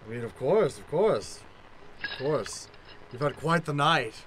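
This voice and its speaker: A man acts out an eccentric male professor in an old-time radio play. His voice is bright and a little high. He declaims grandly and theatrically, with faint noise behind. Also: animated, unhurried, poor audio. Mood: philosophical, theatrical.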